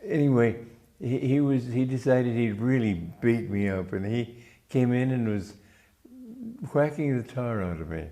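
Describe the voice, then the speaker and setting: An older man from Romania with a mixed English-American accent, giving a calm spiritual talk with stories. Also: mid-range voice. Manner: calm, measured, storytelling. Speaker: spiritual teacher.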